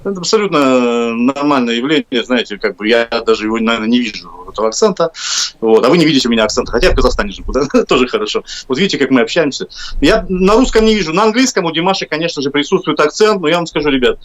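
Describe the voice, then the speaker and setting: A man's voice: mid-range voice, animated, rapid-fire. A man from Kazakhstan with a Russian accent, a musician guest chatting on a live-stream interview over a video call, rambling with jokey asides. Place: home, abroad on a video call.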